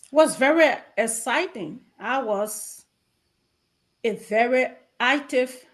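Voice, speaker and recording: A woman with a Liberian accent speaking as an interview guest in a measured, deliberate way with halting phrases. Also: on the high side, rough recording.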